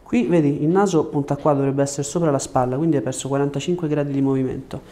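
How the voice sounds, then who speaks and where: Bright-voiced man, a reassuring chiropractor. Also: a little high, steady tone, fast talker. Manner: soft reassuring instructions in short phrases. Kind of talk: hands-on exam talk to a patient. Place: small treatment room indoors. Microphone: lapel mic.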